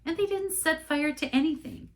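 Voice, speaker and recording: A woman voicing a brisk, insistent, exasperated mother running a church pageant in a storybook reading. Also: high voice, pitched above the performer's own voice, lightly acted. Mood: defensive, firm.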